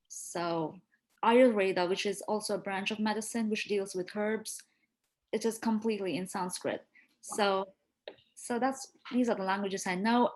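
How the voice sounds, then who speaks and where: A young woman from India whose first language is Marathi, answering interview questions in a sincere, well-spoken way with the odd pause. Her pitch sits in a middle range. She keeps an even, casual conversational tone. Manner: earnest and articulate, with small hesitations. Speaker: interview guest, medical student.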